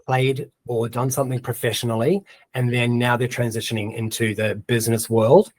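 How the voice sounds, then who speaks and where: A man's voice: medium pitch, little pitch movement, normal speed, no echo. A man with a New Zealand accent giving a casual screen-share tutorial, chatting and thinking aloud as he types, with plenty of ums. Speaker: presenter of a webinar.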